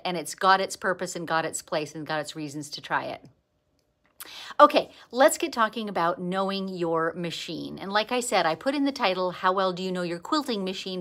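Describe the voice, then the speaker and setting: An older woman from Canada with a Canadian accent, hosting a casual quilting livestream, greeting viewers by name and chatting about her craft. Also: mid-range voice. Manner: chatty, warm greetings to viewers, lively bursts of excitement. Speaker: quilting teacher and livestream host. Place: indoor sewing studio.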